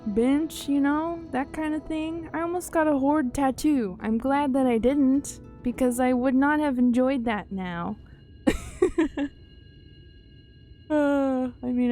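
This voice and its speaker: Mellow, unhurried woman chatting on a livestream. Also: high voice. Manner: informal, musing, often trailing off. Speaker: live game streamer. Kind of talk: casual livestream chat while playing a game. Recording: game music behind the voice.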